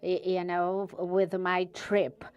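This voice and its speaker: Slow, smooth-voiced Portuguese woman speaker. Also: fairly low pitch, level tone, highs cut off. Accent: European Portuguese. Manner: careful, searching for words. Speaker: guest speaker, university researcher. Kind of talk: conference presentation.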